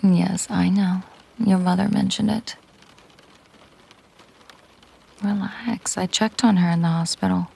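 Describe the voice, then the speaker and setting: A woman playing a possessive, commanding mafia boss girlfriend in an intimate roleplay audio drama, speaking softly and deliberately, often slipping into a whisper. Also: medium pitch, fairly even intonation, creaky, breathy edge. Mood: dry, reassuring. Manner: slow, deliberate, coolly possessive.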